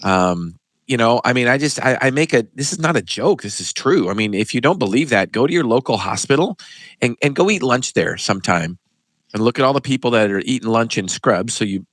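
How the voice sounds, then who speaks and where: Male pharmacist host, mid-pitched, emphatic and animated, dry close recording. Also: fairly quick, no echo. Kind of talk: casual interview chat.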